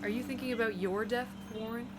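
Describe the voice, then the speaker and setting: A woman's voice: a little high, fairly even intonation, quick pace, very noisy, close to an everyday voice. A woman playing a level-headed, probing female reaper in an audio drama, with a direct, dry manner. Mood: dry, casual. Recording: music behind the voice.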